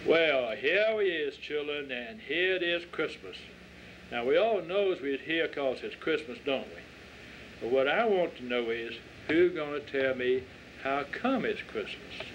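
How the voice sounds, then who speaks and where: A man's voice: a little high, animated, measured pace, a bit bright. A man voicing a folksy, patient rural Southern reverend who questions children like a preacher, in a dramatic story reading. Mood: genial, questioning. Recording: dull lo-fi audio with faint noise.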